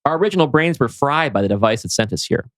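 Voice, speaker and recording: A man's voice: mid-range voice, animated, fast, no echo, clean studio sound, close to an everyday voice. A man reading the part of a breezy, slangy buddy in a science-fiction script, with a flippant manner. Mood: flippant explaining. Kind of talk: script table read.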